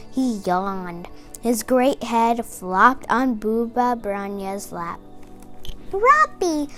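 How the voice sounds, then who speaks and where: A woman reading a children's storybook aloud in an expressive narrating style. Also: on the high side.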